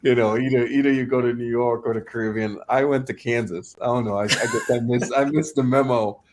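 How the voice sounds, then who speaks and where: A man's voice: medium pitch, fairly even intonation, a little fast, slight background noise. A young adult man from Trinidad and Tobago, a startup founder talking casually as an interview guest, often repeating and restarting his words.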